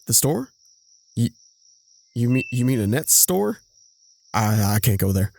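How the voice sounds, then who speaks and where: A man's voice: mid-range voice, sing-song, measured pace, vocal fry, a little mellow, a little hiss or hum. An actor playing a bashful, bragging womanizer in an audio drama, telling racy tales in a folksy, stumbling way full of innuendo. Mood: reluctant. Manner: folksy, innuendo-laden, stumbling into self-corrections. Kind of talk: audio drama acting, anecdotal storytelling.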